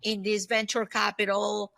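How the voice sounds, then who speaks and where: A woman in her fifties answering interview questions conversationally, thinking aloud in short, halting bursts. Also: medium pitch, monotone, creaky, fairly bright tone, faint music behind. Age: 50s. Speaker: podcast guest, solopreneur and former aerospace executive.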